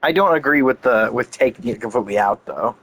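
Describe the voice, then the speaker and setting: A man's voice: medium pitch, animated, fast, a bit bright, breathy edge, low-quality recording. A man giving casual gameplay commentary, chatting and thinking aloud in a rambling way. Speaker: game streamer.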